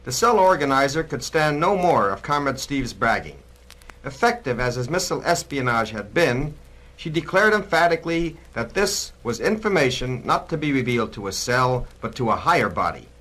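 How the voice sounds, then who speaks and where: A man's voice: mid-range voice, very animated, normal speed, a bit bright, poor audio. A man narrating a scripted 1960s anti-communist documentary record in an emphatic, admonishing, oratorical manner.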